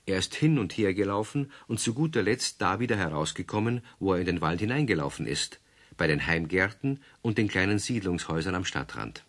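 Deep lively male narrator. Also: a little fast. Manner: lively, storytelling. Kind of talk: scripted storybook narration.

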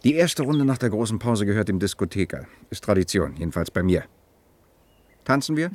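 A man's voice: fairly low pitch, sing-song, fairly quick, darkish tone. An actor playing a cautious, sensible disc jockey in his mid-thirties in a radio play, guarded, defensive and plain-spoken. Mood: guarded, wry. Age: 30s. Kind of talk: German radio play dialogue.

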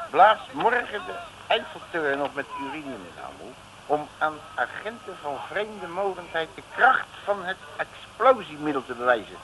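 Here is a boastful, blustering detective from a vintage Dutch radio serial. His fairly high voice swoops widely between self-important muttering and theatrical exclamation. The old recording is clearly noisy. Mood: reading out, tense. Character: boastful, blustering male detective with a nose for money.

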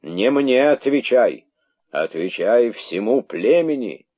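A man's voice: extremely low pitch, sing-song, vocal fry, husky, no echo, heavily acted. A man voicing a stern, sarcastic rabbit king from an animal fable, commanding and full of wrath. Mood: indignant, sarcastic. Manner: grim, commanding, sarcastic, drawn-out words.

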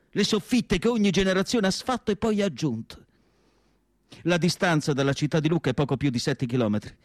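An actor playing a reflective, melancholic asylum doctor writing his diary, in a solemn, measured radio drama monologue with deliberate pauses. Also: on the high side, no room sound, clean studio sound, lightly acted.

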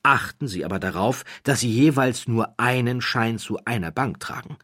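A man's voice: medium pitch, fairly bright tone, husky, no room sound, studio quality, voice pushed up. Man voicing a suave, confiding con-man count. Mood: instructing. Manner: confiding, deliberate. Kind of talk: audiobook character voice.

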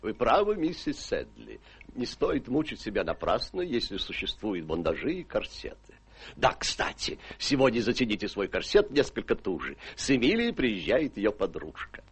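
An actor in a radio play voicing a teasing, sardonic middle-aged gentleman and father, droll and theatrical. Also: on the low side, wildly swooping pitch, moderate pace, slightly rough, exaggerated voice. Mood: mocking.

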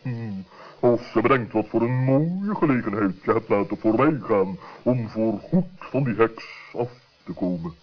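A man voicing a grave, disapproving owl with a husky voice and ponderous, hemming manner in a children's radio play. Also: on the low side, lively intonation, measured pace. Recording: dull old radio recording.

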